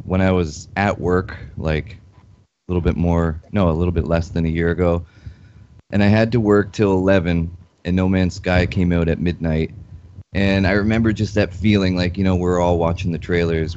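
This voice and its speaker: A man calling in to a show while sick with a cold, telling a story in a relaxed way. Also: low-pitched. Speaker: caller. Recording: dull home mic with faint noise.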